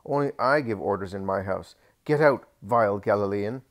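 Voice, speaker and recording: A man voicing a greedy, proud rich landowner with a squeaky, fox-like voice, pleading and boasting. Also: normal speed, natural voice. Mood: indignant.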